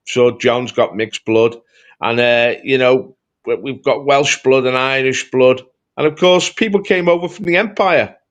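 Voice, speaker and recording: A man's voice: medium pitch, a little slow, highs cut off. A man with a Liverpool accent speaking as a political commentator in an impassioned discussion, emphatic and rhetorical, repeating phrases for effect. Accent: Liverpool Scouse. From Liverpool, England.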